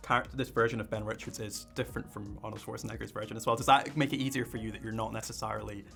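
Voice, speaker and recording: A male interviewer rattles through questions, stumbling over his words as he goes. His delivery is quick and his voice is gravelly. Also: fairly low pitch, steady tone, fairly bright tone, faint music behind. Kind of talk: press interview.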